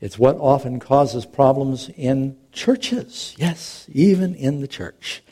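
A man's voice: medium pitch, lively intonation, slow pace, mellow, slightly rough. A middle-aged man, a pastor, preaching a sermon in a conversational, storytelling way with touches of humor.

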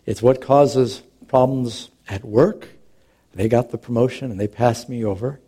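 A man's voice: mid-range voice, a little slow, warm tone, husky. A middle-aged man, a pastor, preaching a sermon in a conversational, storytelling way with touches of humor.

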